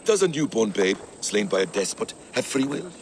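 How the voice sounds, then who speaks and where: An actor playing a sly, assured sceptic in a video game, challenging with pointed rhetorical questions. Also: male voice, fairly low pitch, big pitch swings, moderate pace, a little hiss or hum. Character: probing, sceptical male debater, sly and assured. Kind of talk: video game cutscene acting.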